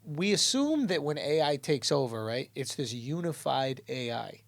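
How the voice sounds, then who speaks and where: A man's voice: medium pitch, expressive, moderate pace, creaky, no echo. A man hosting a casual podcast chat, joking and swearing as he builds his argument. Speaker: podcast host.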